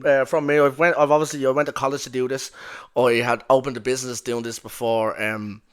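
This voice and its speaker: A young man with a broad Dublin accent hosts a relaxed podcast conversation. He rambles, swears casually and leans on fillers as he talks. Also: mid-range voice, fairly quick, clear, resonant tone. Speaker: podcast host. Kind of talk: casual chat.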